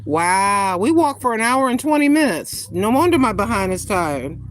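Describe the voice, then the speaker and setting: A woman in her sixties chatting casually with viewers on a live stream, greeting them by name and talking her thoughts through out loud. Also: medium pitch, very animated, normal speed, slight background noise. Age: 60s. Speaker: vlogger greeting live chat.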